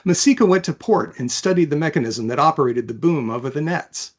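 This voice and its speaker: A man, an author, talking about and reading from his own fantasy novel in a thoughtful, measured manner. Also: a little high, lively intonation. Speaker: author, interview guest.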